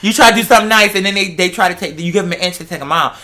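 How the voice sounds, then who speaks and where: A man in his twenties with an American accent talks at a rapid clip in casual conversation. His voice is bright and sits a bit high. He is emphatic and opinionated, speaking like the host of an informal show. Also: a little hiss or hum. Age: 20s. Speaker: host and performer. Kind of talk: casual animated chat.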